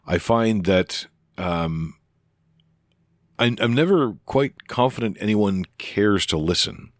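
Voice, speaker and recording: A man with a deep voice talking casually into a close microphone on his podcast, rambling and hesitant with many restarts and fillers. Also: takes it slowly, dry recording. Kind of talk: casual spoken reply to another podcaster.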